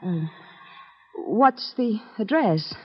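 A woman playing a hesitant, curious companion to a detective in a mid-century radio drama, with a halting delivery. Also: medium pitch, lively intonation, slightly rough, heavily acted. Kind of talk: 1940s-50s radio drama acting. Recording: dull, lo-fi vintage radio recording.

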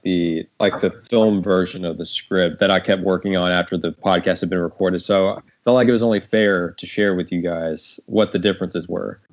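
Male host, deep register, relaxed conversational moderation, dullish lo-fi recording. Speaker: host and writer. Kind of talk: casual panel moderation. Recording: dull lo-fi sound.

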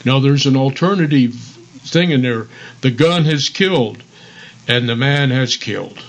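A man's voice: mid-range voice, measured pace. An older man co-hosting a radio show, chatting casually with enthusiasm. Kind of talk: casual chat about old-time radio.

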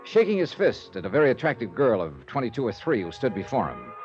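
A man's voice: medium pitch, huge pitch swings, quick pace, darkish tone, soft background music. A man narrating and acting the lead investigator in a 1950s radio drama, wry and matter-of-fact. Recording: dull old radio transcription.

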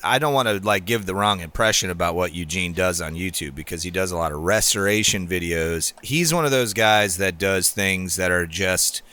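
A man's voice: sits low, moderate pace, few pauses, sharp tone. A man hosting a podcast in a casual chat, rambling with lots of restarts and enthusiastic introductions. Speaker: podcast host.

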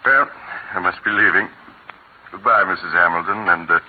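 A low, composed physician voiced by an actor, formal and kindly in a golden-age radio play. The sound is band-limited and slightly noisy. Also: male voice, close to an everyday voice. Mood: kindly, professional. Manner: measured, formal. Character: composed, kindly family doctor narrating a dark tale. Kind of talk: 1940s radio drama narration and acting. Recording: muffled, lightly noisy old radio recording.

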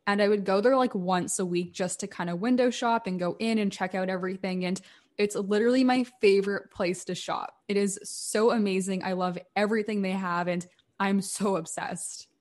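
A woman hosts a casual podcast chat, upbeat and eager as she asks her guest questions. Her voice sits at an ordinary middle pitch. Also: moderate pace, a little mellow. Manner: upbeat and enthusiastic. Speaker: podcast host.

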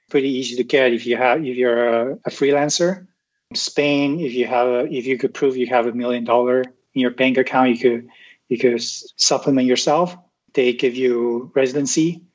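A man from Taiwan talks through his ideas as a guest, checking in with "right?" and restarting phrases as he goes. His voice sits in an ordinary middle register. The audio is dull and muffled. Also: mid-range voice. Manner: explanatory, frequent "right?" tags and restarts. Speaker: podcast guest. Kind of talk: casual interview talk.

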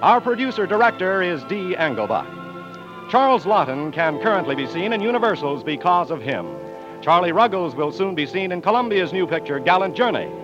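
A man's voice: sits high, very animated, moderate pace. A man with an American accent announcing from a script in a polished, emphatic 1940s radio style. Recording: muffled 1940s radio broadcast. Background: music.

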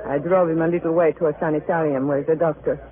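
Female actor as sultry, wary Latin dancer, Spanish accent, measured phrases, 1950s radio crime drama, narrow vintage sound. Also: low voice, fairly even intonation, lightly acted. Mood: somber. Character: guarded, sultry Latin dance-hall woman. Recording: tinny vintage radio, music behind.

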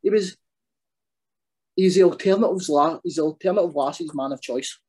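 A Glaswegian man with a thick accent chats as a podcast guest, his voice pitched a bit higher than most men's. He talks bluntly and colloquially, dropping in "aye" and "man" as he goes. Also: normal speed, a little mellow, slightly rough. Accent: Glaswegian Scottish. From Glasgow area, Scotland. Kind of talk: casual chat.

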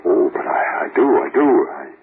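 An actor playing a nervous defense lawyer, stammering and placating, in 1930s radio drama style. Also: male voice, low voice, very animated, moderate pace. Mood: placating, uneasy. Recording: noisy, tinny 1930s radio recording.

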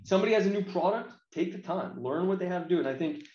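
A man's voice: high voice, lively intonation, quick pace, a little mellow. Blunt American man in a roomy echo. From United States. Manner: blunt, colloquial. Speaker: interview guest, games marketing professional. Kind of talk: casual opinionated chat. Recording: roomy echo, slightly dull sound.

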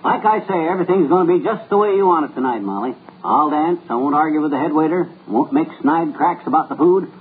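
A man's voice: a little high, animated, fast, few pauses, lightly acted. Fibber McGee, a blustery husband played by a man. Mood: cheerful. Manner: brash, folksy, blustering. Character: blustery, boastful middle-aged husband. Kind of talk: 1940s radio comedy acting. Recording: tinny old radio broadcast with faint hiss.

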